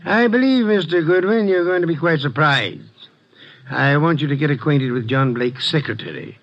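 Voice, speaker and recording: An actor playing a shrewd, formal private detective who gives orders in precise, commanding speech, in a 1950s radio drama. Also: male voice, on the high side, moderate pace, natural voice. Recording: dull lo-fi old radio recording.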